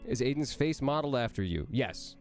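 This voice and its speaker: Young guy, stuffy nasal voice like he's got a cold, jokey stream q&a, middle pitch. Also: expressive, faint music behind. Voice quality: nasal, sounds like he has a cold. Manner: playful, joking, answers quickly. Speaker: voice actor. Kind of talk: livestream Q&A reading chat questions aloud.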